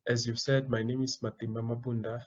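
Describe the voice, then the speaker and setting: A man's voice: mid-range voice, level tone, brisk, darkish tone, highs cut off. Earnest young man. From South Africa. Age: young adult. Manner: earnest, repeats words while searching for phrasing. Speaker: guest, student entrepreneur. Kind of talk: interview answers.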